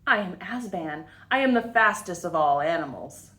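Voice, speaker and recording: A woman voicing a boastful, taunting male trickster raccoon for a children's storybook, loud and teasing. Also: lowish voice, animated, moderate pace, echoey room.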